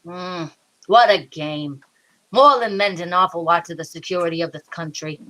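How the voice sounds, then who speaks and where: A woman's voice: lowish voice, moderate pace, bright, forceful tone, a little hiss or hum, poor audio. A woman playing a world-weary, wisecracking male homicide inspector in an old-time radio detective drama style. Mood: weary, grave. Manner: sardonic, hard-boiled patter. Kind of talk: 1940s radio detective acting.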